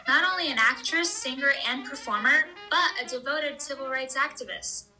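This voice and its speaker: A woman's voice: high-pitched, normal speed, pushed and bright, soft background music, poor audio. A woman playing a chatty, upbeat radio host in a scripted 1920s-style show, with chirpy period banter. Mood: enthusiastic.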